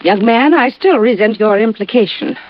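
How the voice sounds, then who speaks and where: A woman's voice: on the high side, animated, normal speed, natural voice. A woman playing an indignant, haughty married society lady in a 1950s radio drama, speaking formally and with clipped offense. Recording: muffled, noisy vintage radio recording.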